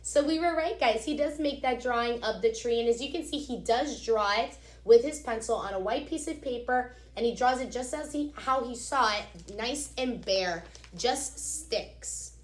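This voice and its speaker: A woman with a fairly high voice reads a children's story in a very echoey room. She sounds like a teacher, expressive and instructive, stepping out of the text to explain it directly to her young listeners. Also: moderate pace, few pauses.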